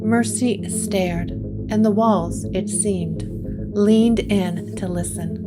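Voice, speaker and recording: Woman reading gothic story, really slow w dramatic pauses, guitar picking behind. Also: medium pitch, steady tone. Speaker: narrator. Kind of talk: scripted gothic story narration. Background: plucked guitar music.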